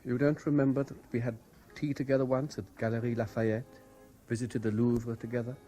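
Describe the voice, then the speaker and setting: A man's voice: medium pitch, little pitch movement, brisk, mellow, soft background music, lightly acted. An actor playing an intense, haunted Frenchman obsessed with a lost love, insistent and brooding, in 1970s radio drama style. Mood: polite, insistent.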